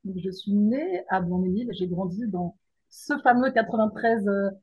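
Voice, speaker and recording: A middle-aged woman from the Paris region speaks as an interview guest. She thinks aloud, restarts and pauses often, and her husky voice comes through a dull, muffled remote line. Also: mid-range voice, lively intonation. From France, Paris region. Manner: thoughtful, hesitant with many fillers and restarts. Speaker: guest, mindset coach and teacher.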